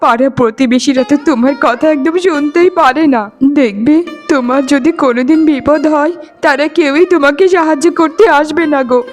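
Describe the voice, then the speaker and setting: A woman's voice: high voice, brisk, few pauses, faint music behind, natural voice. A woman voicing a kind-hearted but defiant wife and aunt in a melodramatic cartoon, entreating and reproachful. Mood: reproachful pleading. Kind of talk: melodramatic Bengali cartoon voice acting.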